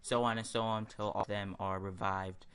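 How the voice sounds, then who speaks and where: Low, bright-voiced man doing game commentary. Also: flat delivery, normal speed, a little hiss or hum. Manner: rambling, opinionated. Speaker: host of a gaming video series. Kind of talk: casual let's-play commentary.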